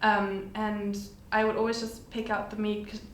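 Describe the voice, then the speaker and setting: Podcast guest: woman, early twenties, from Germany, flat-toned chatter, echoey room. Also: medium pitch, moderate pace. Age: 20s. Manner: chatty, many fillers. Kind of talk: casual chat. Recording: echoey room with faint noise.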